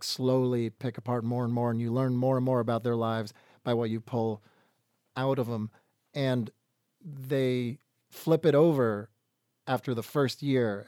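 A man's voice: mid-range voice, a little mellow, no echo. A man, a band singer, telling a rambling story with wry humor between songs in a radio studio session.

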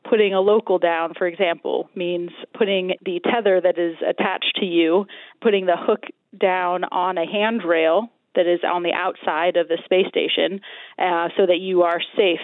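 An American woman answering interview questions in an explanatory way. Her voice is on the lower side, heard over a thin, phone-like connection with no room echo. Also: steady tone, normal speed. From Colorado, United States. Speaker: astronaut interviewed as a guest. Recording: thin band-limited remote line.

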